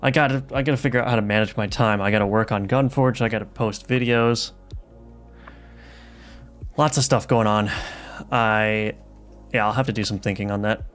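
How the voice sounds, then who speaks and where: A man's voice: on the low side, steady tone, normal speed, pauses often. Man thinking aloud while live coding. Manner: thinks aloud, casual, many fillers and restarts. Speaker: game developer streaming live. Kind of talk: live coding stream, reading chat. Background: soft lofi music.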